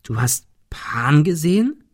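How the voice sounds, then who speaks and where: A man's voice: a little high, sing-song, normal speed, fairly bright tone, no room sound, voice pushed up. An earnest, insistent man in an audiobook acted out by a male reader. He speaks urgently, as if he must keep a friend's attention, and explains as he goes. The sound is dry and close. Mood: excited, insistent. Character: earnest, urgent male friend Ben, recounting Greek myths. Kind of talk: audiobook character dialogue.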